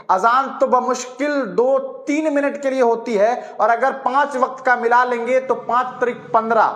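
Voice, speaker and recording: A man's voice: very high-pitched, fairly bright tone, reverberant. A man giving opinionated news commentary in an emphatic, indignant manner full of rhetorical questions.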